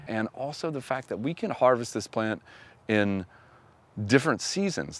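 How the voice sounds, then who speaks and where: A man hosting a foraging show, explaining a wild plant outdoors in an open field in an earnest, unhurried way. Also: lowish voice, slight background noise. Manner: earnest, unhurried, repeats words while thinking.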